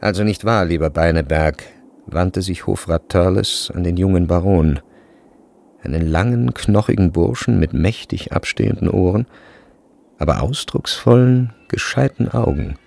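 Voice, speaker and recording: Deep, creaky, lively male literary narrator. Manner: measured, precise storytelling. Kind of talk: literary scripted narration in a radio drama. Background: faint music.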